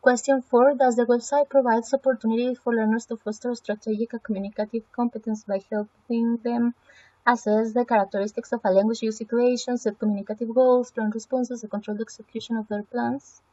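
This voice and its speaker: A woman's voice: on the high side, level tone, fairly quick, mellow, lo-fi sound. A woman whose first language is Spanish presents a website evaluation, talking through it hesitantly with many fillers and self-corrections. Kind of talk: semi-scripted spoken evaluation.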